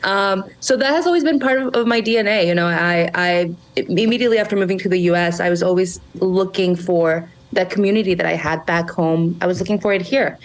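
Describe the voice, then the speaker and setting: Earnest, lower-pitched woman. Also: low-quality recording. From Monterrey, Mexico. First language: Spanish. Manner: earnest and reflective, frequent fillers and restarts. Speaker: guest, brand founder. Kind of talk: casual podcast interview.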